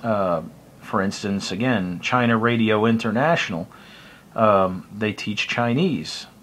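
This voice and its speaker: A man's voice: fairly low pitch, a little slow, fairly bright tone, slight background noise. A middle-aged man with an American accent gives a casual, conversational, rambling explanation to camera, recorded indoors close to the mic. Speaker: hobbyist vlogger. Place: small room indoors by a curtained window.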